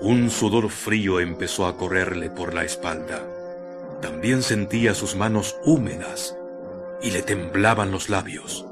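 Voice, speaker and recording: Music plays clearly behind a man narrating a radio drama. His voice is on the low side. He delivers literary, scripted prose in a measured storytelling manner.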